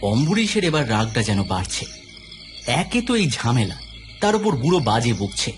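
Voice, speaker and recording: A man narrating a scripted audio story in an expressive, suspenseful, dramatic storytelling style. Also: mid-range voice, moderate pace. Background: clear background music.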